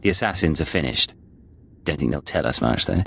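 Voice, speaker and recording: An actor playing a hard, terse undercover intelligence agent in a video game, cool and controlled. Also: male voice, a bass voice, lively intonation, brisk, vocal fry, a bit bright, husky, soft background music, exaggerated voice.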